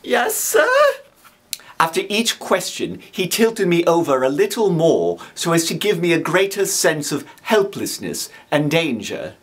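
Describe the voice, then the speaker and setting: A man narrating classic literature as a reader, in a deliberate, theatrical storytelling manner. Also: a little high, sing-song, distant mic. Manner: deliberate, dramatic.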